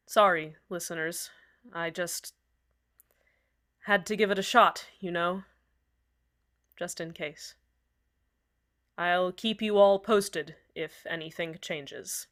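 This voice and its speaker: A woman voicing a heartbroken community radio host who speaks in an earnest, halting way with many pauses. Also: fairly low pitch, a bit bright. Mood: remorseful. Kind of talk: fan radio drama monologue.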